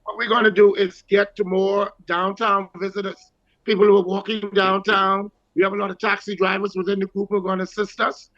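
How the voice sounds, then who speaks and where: A man's voice: high voice, flat delivery, brisk, a bit bright, lo-fi sound. A Bahamian man speaking as an interview guest, explaining things politely in a conversational way. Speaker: guest, leader of a Junkanoo group.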